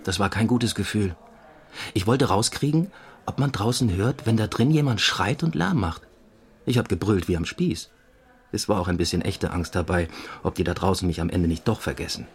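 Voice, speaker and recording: A man playing a police investigator in an audio drama, reporting in a factual, measured, dry-witted manner. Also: low-pitched, slightly rough. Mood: uneasy recollection. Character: matter-of-fact male police investigator giving a case report. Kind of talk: German audio drama dialogue.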